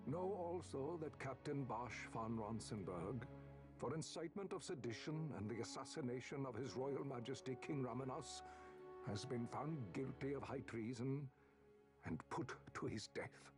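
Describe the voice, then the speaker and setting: Man acting solemn older nobleman, video game drama, very slow formal oration, grave rhetoric, background music. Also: mid-range voice, close to an everyday voice. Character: solemn older nobleman narrating his kingdom's surrender.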